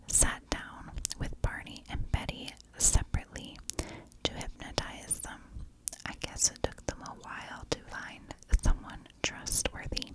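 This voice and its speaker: A woman telling a story in an ASMR style, with hushed, deliberate narration. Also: poor audio. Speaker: ASMR true crime host.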